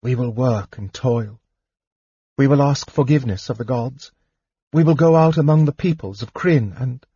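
A man voicing a young elf princess who gently pleads with her dying father. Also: mid-range voice, measured pace, pauses often, breathy edge, no room sound, highs cut off. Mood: pleading.

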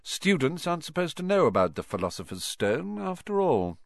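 A man's voice: on the high side, very animated, moderate pace, creaky, no room sound, clean studio sound, voice pushed up. A man voicing a cold, threatening male teacher with an icy manner. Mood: icy menace.

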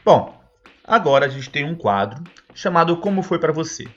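Higher-pitched Brazilian man hosting a podcast. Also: sing-song, moderate pace, highs cut off. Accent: Brazilian Portuguese. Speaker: podcast host. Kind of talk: casual informal explaining to listeners.

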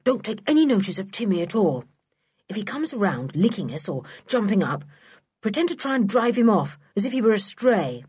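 A woman voicing a bold, bossy, quick and secretive schoolboy in a children's audiobook, with an urgent, exclaiming manner. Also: mid-range voice, very animated, a little mellow, breathy edge, no echo, studio quality. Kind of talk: children's audiobook character acting.

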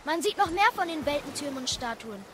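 A woman's voice: high voice, lively intonation, a little fast, fairly bright tone, slight background noise. A woman playing a curious, tender-hearted young boy in an audio play, eager and full of questions. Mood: excited. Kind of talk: dramatised audio play acting.

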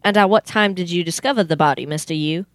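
A woman's voice: on the low side, quick pace, no echo, natural voice. A young woman playing a polite, methodical male police detective in an amateur radio play. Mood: formal, incredulous. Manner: formal, questioning. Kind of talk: amateur old-time radio theater acting.

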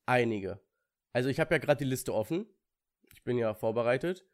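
A slightly hoarse young man co-hosting a podcast in casual chat, relaxed, with fillers and short pauses. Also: mid-range voice, no room sound, studio quality. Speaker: podcast co-host.

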